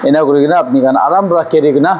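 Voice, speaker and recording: A man's voice: on the high side, rapid-fire, a little mellow, dull audio, a little hiss or hum. A man gives an informal shop tour as a seller, rambling and repeating himself with English words mixed in. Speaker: music store seller presenting stock. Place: small cluttered music store.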